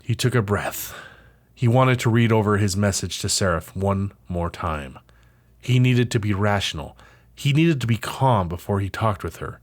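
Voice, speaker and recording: Low-voiced man narrating a suspenseful drama. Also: animated. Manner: suspenseful, theatrical pauses. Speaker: narrator and host of an interactive audio drama. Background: music.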